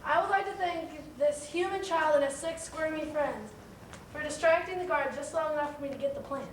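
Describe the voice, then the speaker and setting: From across an echoey stage comes a woman as an evil little ant, high-pitched and boastful. The villainous bug declaims with menace for a children's play, picked up from a distance. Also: a little fast, natural voice. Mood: gloating, defiant. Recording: echoey stage, distant mic, background noise.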